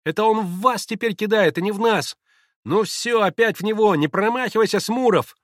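A man voicing jeering, excitable schoolboys of about eleven in an audiobook, shouting and taunting. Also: high-pitched, normal speed, dry recording, studio quality, voice pushed up. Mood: indignant.

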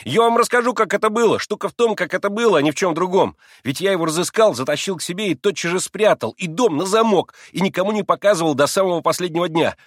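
A man's voice: mid-range voice, expressive, moderate pace, hardly any pauses, fairly bright tone, dry recording, clean studio sound. A man voicing a proud, eager teenage boy in an audiobook, exclaiming and explaining in a bossy showman's way. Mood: excited, showing off. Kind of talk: acted audiobook dialogue.

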